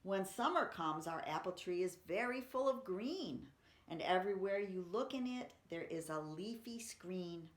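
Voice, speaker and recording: A woman's voice: lowish voice, expressive, measured pace. A woman reading poetry aloud for children and chatting between poems, explaining simply and reading expressively. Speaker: library story-time reader. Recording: roomy, echoey room sound.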